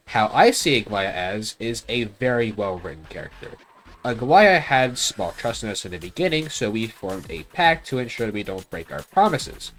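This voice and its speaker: A man delivers casual, opinionated review commentary as the host. His mid-pitched voice swings up and down animatedly, and his phrasing is blunt and informal. Faint music plays behind him. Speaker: video game review host.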